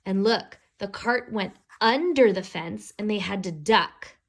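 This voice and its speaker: Woman, slow pace, storybook read-aloud, expressive, explanatory asides for young children. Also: medium pitch. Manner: expressive, explains words and pictures to young listeners.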